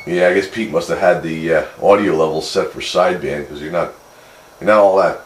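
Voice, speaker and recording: Man, low voice, unhurried radio hobbyist chat, echoey room with clear background noise. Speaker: amateur radio operator. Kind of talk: casual two-way radio chat. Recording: noisy, roomy radio recording.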